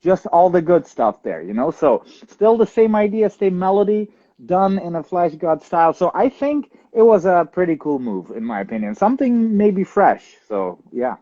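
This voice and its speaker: A young man from Ukraine with a fairly high, mellow voice, talking things through in an interview. He pauses often, restarts his sentences and laughs easily. The sound is a bit dull and lo-fi. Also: sing-song. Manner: thoughtful, many fillers and restarts, laughs easily. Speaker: guest drummer. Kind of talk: casual interview answers.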